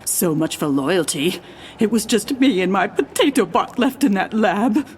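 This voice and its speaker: A woman's voice: mid-range voice, animated, unhurried, slight background noise, close to an everyday voice. A woman playing a dry, embittered, defensive scientist in an audio drama, with a clipped delivery. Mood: bitter.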